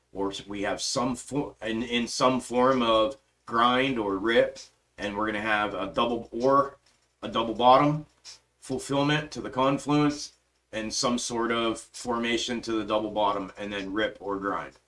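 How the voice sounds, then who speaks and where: A man's voice: fairly low pitch, a little slow, fairly bright tone. A man hosting a stock market live stream, talking casually and emphatically over his charts, swearing freely and reading chat aloud, grew up in western Pennsylvania but spent his adult life in the South. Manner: profane, emphatic, restless, trails off while working charts. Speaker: stock market livestream host.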